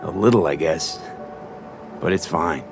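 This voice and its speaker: An actor playing a calm, dry young street mercenary in a video game, speaking in a clipped, understated way. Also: male voice, low voice, measured pace, gravelly, over music. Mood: calm, curious.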